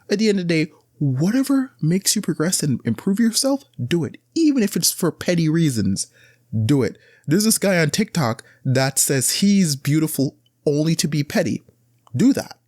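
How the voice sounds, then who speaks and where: A man hosting a casual solo podcast, giving blunt advice in a rambling, conversational way that often jumps up in pitch to stress a point. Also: darkish tone, no room sound, studio quality. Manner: rambling, with frequent restarts and filler words. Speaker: podcast host.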